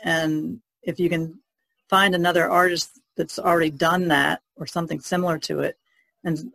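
A woman on a podcast panel, explaining things in casual, measured conversation. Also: sits low, gravelly, fairly bright tone, dry recording, poor audio. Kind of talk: casual discussion of art.

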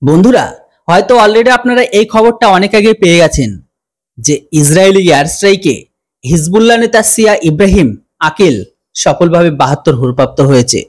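A young man hosts an online news reaction video. His voice sits a little high for a man. He delivers his commentary as a presenter addressing viewers. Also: lively intonation, brisk. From India.

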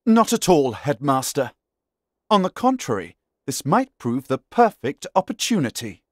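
Very animated, polite scholarly professor. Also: male voice, fairly high pitch, a little slow, no echo, clean studio sound. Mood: composed. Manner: eloquent, formal, theatrical. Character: inquisitive, polite, unflappable male scholar professor. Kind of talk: video game voice acting.

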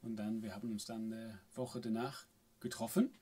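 A man's voice: on the low side, steady tone, warm tone. A man in his forties from England with an English accent, chatting as a guest musician in a small home studio and searching for words in a second language. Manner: halting, searching for German words. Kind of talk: casual chat.

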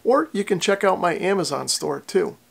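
A man in his forties narrates a repair job in a casual way. His voice sits a little high for a man and has a clear gravelly creak. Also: animated, moderate pace. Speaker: repair channel host.

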